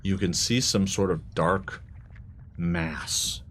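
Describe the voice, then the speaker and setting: A man narrating a tabletop game as game master in a halting, conversational way. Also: low-pitched, a bit bright. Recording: live stream with clear background noise.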